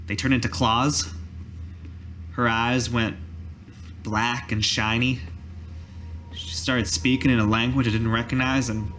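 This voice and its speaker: A man voicing a weary village cobbler in a tabletop roleplay, telling his story in a halting, folksy way. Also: mid-range voice, a bit bright. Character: weary male village cobbler recalling a massacre. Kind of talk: in-character tabletop roleplay monologue. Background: background music.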